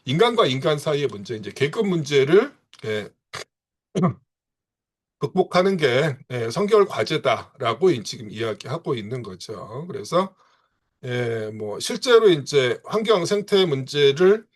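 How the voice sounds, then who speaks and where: A man giving a seminar lecture, pausing often and filling gaps with short affirmations. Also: mid-range voice, sing-song, slightly rough. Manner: punctuates phrases with frequent filler affirmations.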